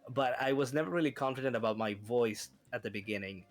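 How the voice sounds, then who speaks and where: A man's voice: medium pitch, fast talker. A man whose first language is Spanish speaks with a light Spanish accent. He chats casually as a guest, hesitant and giggly, and often restarts his sentences. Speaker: guest streamer. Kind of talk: casual chat.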